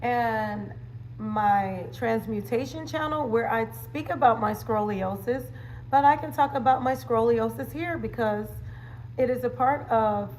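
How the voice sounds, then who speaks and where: Female livestream host, higher voice, slow easygoing pace, playful slangy chat, slight background noise. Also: a little mellow, low-quality recording. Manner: playful, chatty, with slang catchphrases and frequent laughter. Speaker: livestream host and wellness coach. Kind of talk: casual livestream chat, answering viewers.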